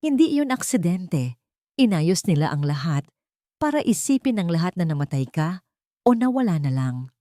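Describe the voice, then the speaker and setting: Grieving devoted father for story drama female narrator, earnest, solemn, lowish register, dry recording. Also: sing-song, normal speed, dark tone, dry recording. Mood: grave. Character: grieving, devoted father revealing old family secrets.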